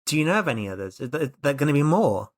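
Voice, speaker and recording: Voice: mid-range voice, big pitch swings, fast. A tabletop player voicing an anxious young sidekick, fretful and scolding, repeating words in exasperation.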